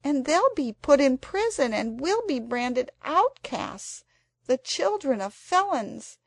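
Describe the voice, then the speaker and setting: An earnest, dramatic young girl who pleads and coaxes, as a woman reads her. Her voice rides a bit high with lively rises and a grainy edge, recorded dry. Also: slow, no room sound, heavily acted. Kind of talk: storybook character voice.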